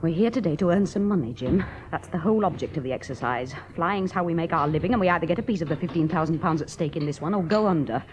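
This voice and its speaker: An actress playing a woman aviator worn down by debt and a failing marriage, speaking in a brittle, sharp-tongued way that sinks into quiet despair. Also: deep voice, expressive, fast talker, hardly any pauses, slight background noise. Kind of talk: 1970s radio drama acting.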